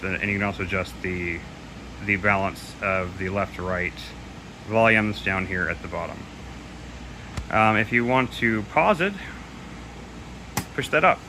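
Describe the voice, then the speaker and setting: A man with a very deep-pitched voice talks through a piece of equipment off the cuff. His delivery is loose and a little unsure, like an informal walkthrough. The homemade recording has clearly audible noise behind him. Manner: hesitant, loose, admits gaps in knowledge. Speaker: presenter demonstrating audio equipment. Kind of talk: casual unscripted product demo. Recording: clearly noisy home recording.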